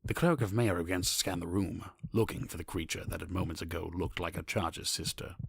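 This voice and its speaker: A man with a low, creaky voice narrates a scripted fantasy tale. His sound is crisp and close, with almost no room on it. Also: fairly quick, no room sound.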